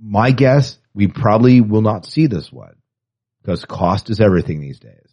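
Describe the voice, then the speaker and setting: A man's voice: on the low side, animated, normal speed, darkish tone, husky. A man co-hosting a podcast in casual, opinionated chat with wry asides, reading and commenting on news. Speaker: podcast co-host.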